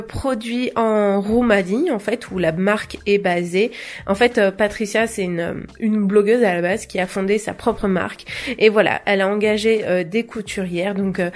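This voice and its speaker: A young woman chatting casually to camera about clothes she bought, hesitant, with many fillers and small laughs. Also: mid-range voice. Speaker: fashion vlogger. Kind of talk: casual haul chat to camera.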